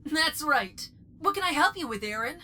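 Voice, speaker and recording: A woman playing a helpful, matter-of-fact ship computer in a sci-fi fan parody, speaking in a precise, measured, articulate way. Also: high voice, sing-song, sharp tone, a little hiss or hum, heavily acted. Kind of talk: fan sci-fi parody dub, informational recitation.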